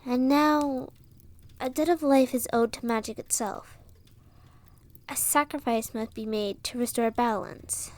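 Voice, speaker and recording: Woman acting high-voiced, earnest young village woman. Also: steady tone, a little slow, a little mellow, no echo, natural voice. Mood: sorrowful, grave. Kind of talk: audio roleplay acting, storytelling dialogue.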